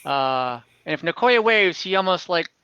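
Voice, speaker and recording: Bright-voiced game master on lo-fi audio. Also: mid-range voice, lively intonation, moderate pace, clear, resonant tone, dry recording. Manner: conversational, improvised narration. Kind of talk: narrating an online tabletop roleplaying game. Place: online voice call. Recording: dull lo-fi online call audio.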